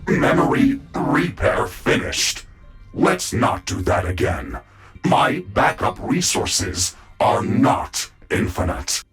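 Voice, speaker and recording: An actor playing a calm, matter-of-fact male AI of a mecha suit in a sci-fi game, with clipped, formal technical reporting and a synthetic delivery. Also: mid-range voice, slow pace, soft background music, full character voice. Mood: serious, analytical. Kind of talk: scripted sci-fi game dialogue.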